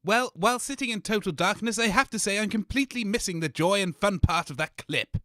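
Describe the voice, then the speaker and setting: A man's voice: sits high, fairly quick, pushed and bright, no room sound, clean studio sound. A man playing a grumbling, exasperated radio co-host in a scripted comedy. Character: grumbling, exasperated co-host Fog, self-styled engineering wizard.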